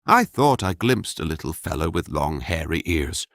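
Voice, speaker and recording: A man's voice: low voice, very animated, moderate pace, vocal fry, fairly bright tone, no room sound, clean studio sound, heavily acted. A man voicing a wandering former king, courteous and wry, with old-fashioned courtly speech. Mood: hearty.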